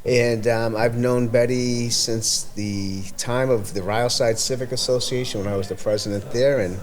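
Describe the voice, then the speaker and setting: A man with an Everett, Massachusetts accent, talking as a community event organizer in a casual podcast chat, rambling and halting with many restarts. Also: medium pitch, fairly even intonation.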